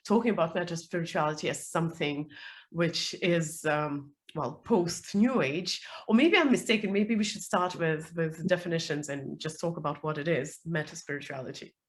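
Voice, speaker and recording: A woman with a hoarse, worn voice from being sick, hosting a live online interview over a video call, chatty with many fillers. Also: lowish voice. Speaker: host. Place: home, calling in.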